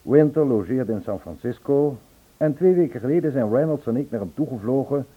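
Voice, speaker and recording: A self-absorbed, worldly composer in middle age, telling things earnestly in an old radio drama. His mid-pitched voice is husky, and the recording is tinny. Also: animated, fairly quick. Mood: earnest, indignant.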